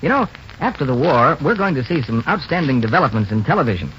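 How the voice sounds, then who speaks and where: A man with an American accent, reading scripted announcements and sponsor pitches in 1940s radio style. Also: big pitch swings, fast, fairly bright tone, slight background noise. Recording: muffled 1940s radio broadcast recording.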